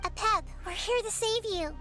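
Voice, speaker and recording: Really high little girl deity, gentle n earnest, wise game character, music behind. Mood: earnest pleading. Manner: earnest, measured, articulate. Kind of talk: video game voice acting.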